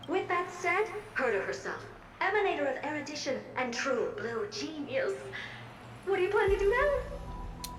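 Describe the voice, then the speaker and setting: A woman voicing a flattering, theatrical talking mirror, an eager servile assistant in an anime game. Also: very high-pitched, moderate pace, echoey room, distant mic, a crowd in the background. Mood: fawning. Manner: theatrical, fawning.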